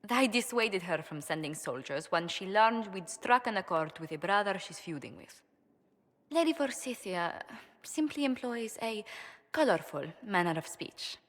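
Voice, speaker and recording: A woman's voice: mid-range voice, lively intonation, long reverb tail, lightly acted. A woman playing a courteous, composed ambassador in a video game, speaking formally with mild exasperation. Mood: polite, measured. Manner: formal, polite, measured phrasing. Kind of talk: video game character dialogue.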